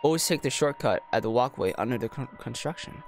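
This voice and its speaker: A man's voice: medium pitch, animated, fast talker, slight background noise, natural voice. A man voicing a cold, impatient woman kidnapper of about thirty from a visual novel, curt and menacing. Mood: flat, reciting. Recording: music behind the voice.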